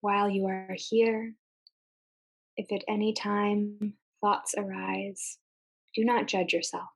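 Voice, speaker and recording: Female meditation guide, mid-range voice, very slow and gentle delivery with long pauses. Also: mid-range voice, little pitch movement, gravelly, rough recording. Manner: gentle, unhurried, with long pauses between short phrases.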